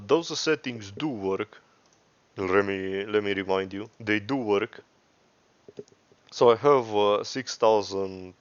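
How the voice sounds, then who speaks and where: A man with a Romanian accent giving a casual, unscripted software tutorial, rambling and hesitant, often correcting himself. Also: on the low side, no room sound. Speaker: tutorial host. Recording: dry, dull lo-fi microphone.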